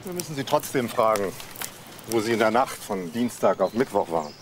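A man's voice: fairly low pitch, animated, brisk, noisy background, natural voice. A man playing a direct, matter-of-fact police detective in a crime drama, questioning bluntly. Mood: probing. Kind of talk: German TV crime drama acting.

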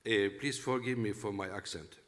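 A middle-aged man with a Turkish accent, native Turkish, introducing his band on a concert stage in halting English with pauses and fillers. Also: on the low side. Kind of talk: onstage concert announcement. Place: large concert hall stage. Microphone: stage mic from a distance. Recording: reverberant concert hall, faint noise.

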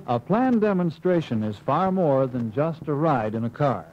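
A man's voice: a little high, lively intonation, normal speed. An American man reading scripted instructional narration in an emphatic manner, as the narrator of a training film. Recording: muffled, hissy 1950s film soundtrack.

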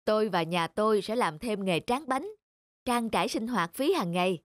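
A man voicing a modest, kindly, middle-aged older brother in a cartoon, calm and plain-spoken. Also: high voice, moderate pace, no room sound, clean studio sound. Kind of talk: animated cartoon dialogue.

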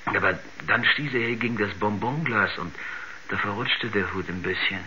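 An actor playing a rambling, uneasy village shopkeeper who stammers and repeats himself in a 1970s radio drama. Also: male voice, on the low side, normal speed, close to an everyday voice. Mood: uneasy, puzzled. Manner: hesitant, stammering, repetitive, rambling. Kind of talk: 1970s science-fiction radio drama acting. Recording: dull, noisy 1970s radio recording.

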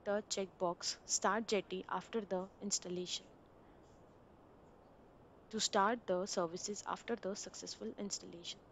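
Woman narrating a software installation tutorial. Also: mid-range voice, fairly even intonation, unhurried, a little hiss or hum. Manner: plain step-by-step instructional delivery. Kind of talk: screen-recorded installation walkthrough.

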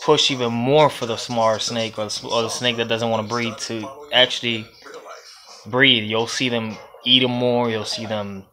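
A man's voice: mid-range voice, steady tone, normal speed, bright, forceful tone. A young man with a Puerto Rican accent gives a casual, rambling how-to vlog, filmed on a phone in an indoor reptile room. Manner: loose and rambling, with laughs and swearing. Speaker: reptile breeder and vlogger. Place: indoor reptile room lined with storage tubs. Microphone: phone held close at arm's length.

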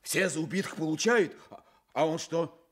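An actor as a high-voiced, earnest young villager. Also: male voice, normal speed, natural voice. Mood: indignant, earnest. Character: earnest young village man, protective and plain-spoken. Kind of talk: Soviet radio play acting.

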